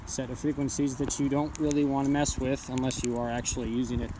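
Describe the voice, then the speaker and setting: Heavy background noise, man, mid-pitched voice, casual unscripted gear demo, blunt, rambling, matter-of-fact. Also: flat delivery, fast. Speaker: amateur radio hobbyist reviewing gear. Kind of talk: casual unscripted product demonstration.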